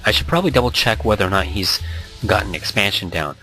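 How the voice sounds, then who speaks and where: A man's voice: lowish voice, animated, quick pace, sharp tone. A man reading a visual novel aloud with expression, stumbling over words now and then and rereading them, mixed with casual asides. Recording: background music under the voice. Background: music.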